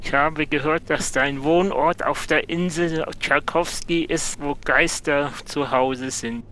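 A man playing a stubborn, probing detective in an improvised audio drama, speaking tersely and deliberately. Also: a little high, steady tone, pushed and bright, slight background noise. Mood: insistent. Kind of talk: improvised audio drama acting by a listener-player.

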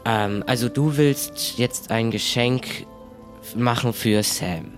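A man in an improvised adventure roleplay, talking conversationally and haltingly as he searches for words. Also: medium pitch. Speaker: player in an improvised pirate adventure audio play. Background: faint music.

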